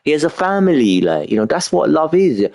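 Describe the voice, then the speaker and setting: A man's voice: on the high side, very animated, a little fast, creaky, a little mellow. Emphatic man, rambling on stream. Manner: emphatic, repetitive, fills with "you know what I mean". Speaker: game streamer. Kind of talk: casual rambling monologue while gaming.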